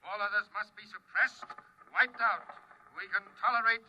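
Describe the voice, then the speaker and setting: Casting: imperious Earth inspector, high commanding voice, crackly radio transmission, vintage sci-fi radio drama. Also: male voice, moderate pace. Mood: officious, menacing. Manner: imperious, curt, officious, commanding. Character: domineering, militaristic imperial inspector from Earth, a man. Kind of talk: 1950s radio drama acting. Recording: dull old radio recording, clear static noise.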